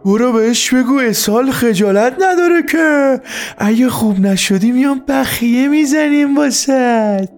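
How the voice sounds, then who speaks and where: A man voicing a mocking middle-aged father in a comic story, taunting with drawn-out words. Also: mid-range voice, mellow, faint music behind, natural voice. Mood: angry mockery.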